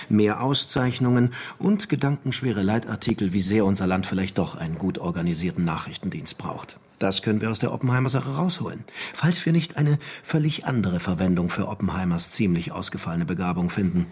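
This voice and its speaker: An actor playing a sly intelligence schemer in a radio crime drama, insinuating and dryly mocking. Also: male voice, on the low side, a little mellow, slightly rough. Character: sly, smooth-talking male intelligence schemer.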